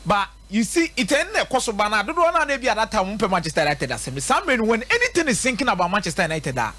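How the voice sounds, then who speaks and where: The high, raspy Ghanaian man you would hear on a sports radio show, emphatically breaking down a football club's form, his voice leaping up and down. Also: a bit bright. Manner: emphatic, rhetorical, repeats points for effect. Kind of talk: live football commentary and analysis, mixing local language and English.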